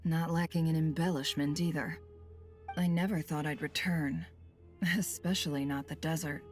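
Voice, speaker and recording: Actress as cool shrewd boss lady from a game, lowish voice, dry sardonic, soft music under it. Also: fairly even intonation, close to an everyday voice. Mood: wry. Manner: measured, sardonic. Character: shrewd, cool, wry woman boss and deal-maker. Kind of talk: scripted video game voice acting.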